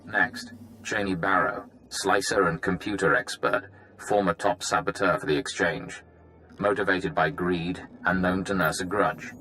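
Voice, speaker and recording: An actor playing a businesslike infiltration operative who gives a brisk, matter-of-fact recorded briefing in a video game. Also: male voice, low-pitched, steady tone, background noise.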